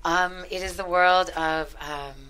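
A middle-aged woman from Chicago, a guest writer answering interview questions in a halting, thoughtful way. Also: fairly low pitch, fairly even intonation, fairly bright tone, a little hiss or hum. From Chicago, United States. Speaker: guest screenwriter. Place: small podcast studio.

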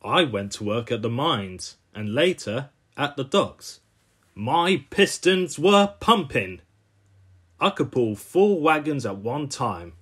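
An elderly, rusty cartoon steam engine, played by a man, looks back proudly on younger days. He reminisces like a storyteller at a slow pace. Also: mid-range voice, very animated, a bit bright, slightly rough, full character voice. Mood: proud, nostalgic.

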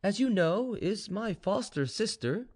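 A man voicing a calm, dutiful young noblewoman, reserved and soft-spoken, with formal phrasing in a storybook reading. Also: sits high, unhurried, pitched above the performer's own voice.

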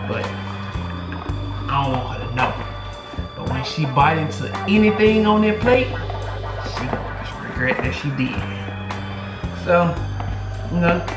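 Laid-back man in an echoey kitchen. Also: medium pitch. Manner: laid-back, chatty, drawn-out filler words. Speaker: vlogger cooking at home. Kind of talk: casual cooking vlog, talking to camera. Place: home kitchen, indoors. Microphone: camera on the counter, several steps away. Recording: roomy kitchen echo, distant camera mic, loud background music.

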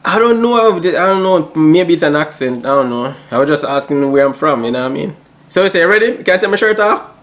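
A man's voice: on the high side, expressive, quick pace. A young man in his twenties with a Jamaican accent, chatting playfully with strangers on a video app in a slangy vlog. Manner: playful, slangy, drops Jamaican patois exclamations. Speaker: YouTube vlogger. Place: small home room indoors.

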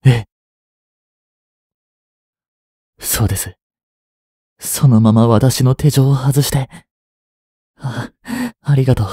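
A young man in his twenties playing a treacherous, smooth-tongued captive prince and spy in a Japanese audio drama, with courteous, theatrical speech that can turn mocking. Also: medium pitch, steady tone, mellow, dry recording, clean studio sound. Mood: coaxing, eager. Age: 20s. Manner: slow, theatrical, courteous speech slipping into taunts. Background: background music.

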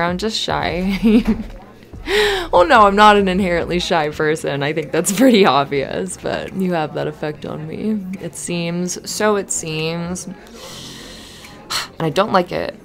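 A woman playing a cute, flustered, flirty barista in an ASMR roleplay, kind and giggly, with halting, rambling talk. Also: lowish voice, vocal fry. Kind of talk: ASMR roleplay, conversational acting. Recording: music under the voice. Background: music.

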